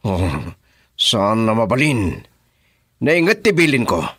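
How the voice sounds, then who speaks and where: A commanding gang boss in a radio drama, stern and domineering. His pitch swings widely as he orders henchmen around, recorded close and dry. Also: big pitch swings, slow pace, a bit bright, husky, no room sound, full character voice. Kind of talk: Ilocano radio drama acting.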